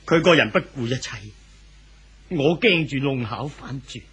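An actor playing an anxious, clinging older husband in a 1970s radio drama, pleading and insistent, with a Hong Kong Cantonese accent. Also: male voice, medium pitch, lively intonation, unhurried, a bit bright, slightly rough, heavily acted. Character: anxious older husband clinging desperately to his unfaithful wife.

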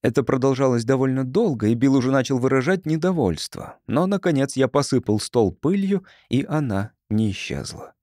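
A man reading an audiobook story as narrator, in an expressive scripted style. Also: medium pitch, moderate pace, no echo, clean studio sound.

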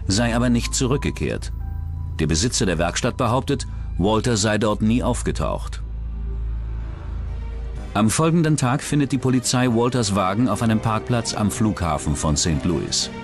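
Low-voiced male true-crime narrator, lively intonation. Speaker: documentary narrator.

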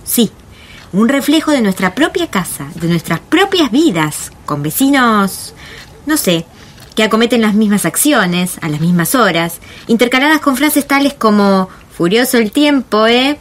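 An actress playing an anxious, indignant suburban neighbour in a radio drama, with an Argentine accent and a wry, fretful, theatrical delivery. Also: female voice, on the high side, expressive, measured pace, a little hiss or hum. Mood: agitated, sardonic.

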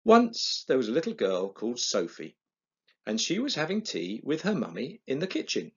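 A middle-aged man reading a children's picture book aloud expressively, with dramatic, deliberate pauses. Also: medium pitch, wildly swooping pitch, breathy edge, highs cut off. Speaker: storyteller on an early years channel.

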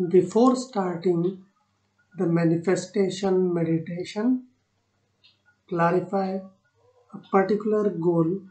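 A woman guiding a meditation in short, soothing phrases with long pauses between them. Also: fairly low pitch, dark tone. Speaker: meditation guide. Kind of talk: guided meditation narration. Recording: roomy echo on the voice.

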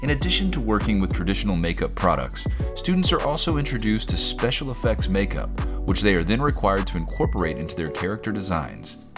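A man narrates from a prepared script in a very low voice, presenting a showcase in full sentences. Music plays clearly behind him. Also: sing-song, brisk. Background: background music.

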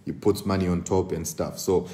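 A man's voice: sits low, fairly even intonation, normal speed, dark tone. A man in his thirties talking live to camera indoors, earnest and full of fillers and restarts. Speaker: host. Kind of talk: casual live commentary. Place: indoor room at a table.

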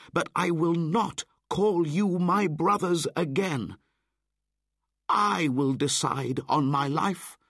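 A man voicing a fierce young boy raised by wolves, speaking loud and high. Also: gravelly, husky, no echo, clean studio sound, heavily acted. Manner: loud, high, forceful declaring.

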